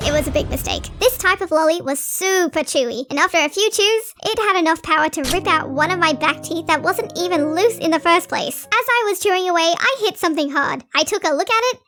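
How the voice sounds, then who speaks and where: A young adult woman tells scripted personal stories in an animated, youthful and expressive way. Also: very high voice, brisk, hardly any pauses, faint music behind. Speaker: animated storytime narrator.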